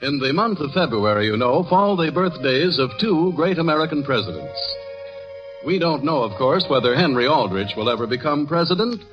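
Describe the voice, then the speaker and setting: Animated male vintage radio announcer over music. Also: on the high side, normal speed. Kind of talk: 1940s radio announcing, scripted introduction. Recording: dull old broadcast, music behind. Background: musical instrument playing.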